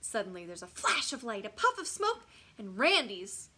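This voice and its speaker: A woman reading a children's bedtime story expressively, with dramatic, deliberate phrasing and pauses for suspense. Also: a little high, wildly swooping pitch, bright, forceful tone, slightly rough. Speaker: storybook reader.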